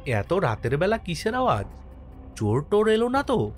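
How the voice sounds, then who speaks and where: A man playing an easygoing village postmaster who narrates his own ghost story in an expressive, dramatic way for a horror cartoon. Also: sits high, moderate pace. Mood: uneasy, suspenseful. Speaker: first-person narrator and lead character. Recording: music under the voice.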